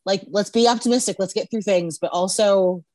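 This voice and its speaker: A woman guest who reads tarot, talking frankly over a video call, laughing often and filling pauses with "um" and "like". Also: mid-range voice, warm tone, no room sound. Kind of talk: casual chat.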